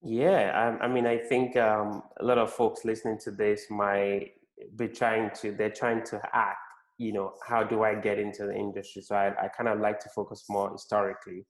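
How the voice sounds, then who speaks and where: Man, podcast host, monotone, lowish voice, roomy echo. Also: moderate pace. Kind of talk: interview hosting.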